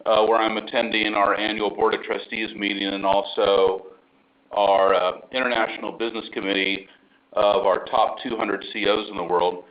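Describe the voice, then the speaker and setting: A man's voice: fairly low pitch, level tone, moderate pace. Upbeat man on a lo-fi call. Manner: upbeat, enthusiastic, with frequent fillers. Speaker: company chief executive. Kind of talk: earnings call remarks. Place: remote call line from abroad.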